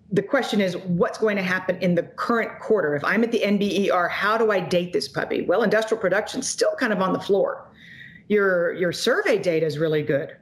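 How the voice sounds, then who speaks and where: A woman in her forties, an economist guest, talking emphatically and quickly over a video call from a home office. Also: medium pitch. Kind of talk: animated interview commentary. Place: home office in front of a logo backdrop. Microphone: video call webcam.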